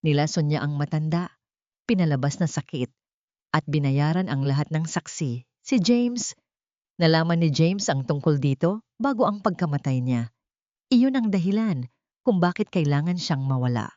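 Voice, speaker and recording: A woman voicing a weary, elderly male lawyer in a Filipino audio drama, speaking gravely. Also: low-pitched, animated, moderate pace, warm tone, no room sound, highs cut off. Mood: grave, accusing. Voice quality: tired, failing voice. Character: old weary male lawyer revealing dark secrets.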